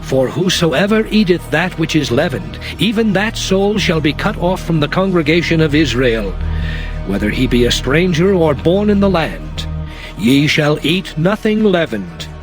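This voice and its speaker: A man voicing God in a dramatized scripture reading, proclaiming laws in solemn, measured phrases over music. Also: a little high, very animated. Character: the Lord, commanding divine voice giving laws. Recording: music under the voice.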